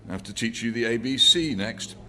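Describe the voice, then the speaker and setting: A man's voice: mid-range voice, animated, brisk, pushed and bright, slight background noise, pitched above the performer's own voice. A man voicing a grumbling, pugnacious old man in a story reading, with colloquial, complaining speech. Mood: indignant, pugnacious. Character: pugnacious, grumbling old working-class English prole man.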